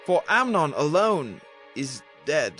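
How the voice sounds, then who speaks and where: Earnest court adviser, reassuring, high animated voice, scripture drama with faint music. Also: male voice, takes it slowly, exaggerated voice. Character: earnest royal adviser reassuring his king. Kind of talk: dramatized Bible audio acting.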